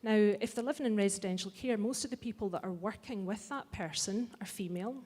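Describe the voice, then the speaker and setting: A woman's voice: mid-range voice, fairly quick, a little mellow. Scottish woman speaker, blunt and a bit funny, passionate, big hall with long echo. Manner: blunt, wry, impassioned. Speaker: festival speaker. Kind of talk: speech to a live audience. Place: large hall.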